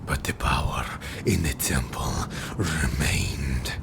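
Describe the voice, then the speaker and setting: A man voicing a cruel, grim beast-creature in a fantasy audiobook, speaking in a hoarse whispering growl with ominous, drawn-out phrasing. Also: rumbling low, voice pushed down, full character voice. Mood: dark, menacing. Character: cruel old beast-creature Hadar, fanged, grim, menacing. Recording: noisy home recording.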